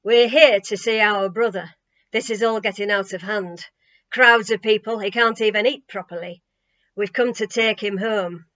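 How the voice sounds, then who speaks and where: A woman's voice: medium pitch, fairly even intonation, moderate pace, fairly bright tone, dull audio, close to an everyday voice. A woman voicing a cross, bossy eldest brother who orders people about in a children's story. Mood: bossy, indignant.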